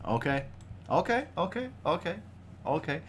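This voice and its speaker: A man's voice: a little high, big pitch swings, normal speed, a little hiss or hum. A man hosting a casual podcast livestream, joking and loose with a groggy voice. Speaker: podcast host.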